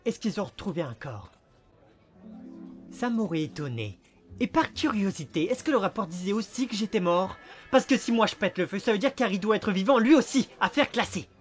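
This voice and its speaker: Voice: medium pitch, expressive, brisk, lightly acted. A performer voicing a small talking creature, a cocky amnesiac detective with a sarcastic, dramatic, wisecracking manner, in a French film fan dub. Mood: insistent. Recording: music behind the voice.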